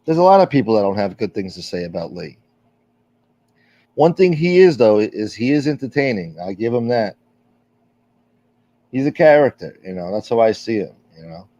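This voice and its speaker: A middle-aged man hosting a casual livestream, rambling through viewer comments with plenty of fillers and blunt swearing. His voice is dark and mellow, and the dull, lo-fi audio sounds dry, with no room around it. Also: fairly low pitch, very animated, moderate pace, long pauses, dry recording. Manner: rambling, candid, profane, with frequent fillers. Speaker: livestream host.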